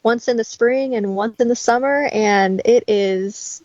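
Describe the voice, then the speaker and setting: A woman's voice: on the high side, measured pace, a little mellow, no echo. A woman chatting casually as a guest on a podcast, over a lo-fi connection. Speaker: podcast guest, audio editor. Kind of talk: casual chat. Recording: dull lo-fi voice connection.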